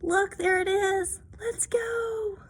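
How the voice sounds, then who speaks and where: A woman's voice: very high-pitched, fairly even intonation, slow pace, a little hiss or hum, voice pushed up. A woman voicing an anxious young kitten in a storybook, fretting and exclaiming dramatically about scary things. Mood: eager.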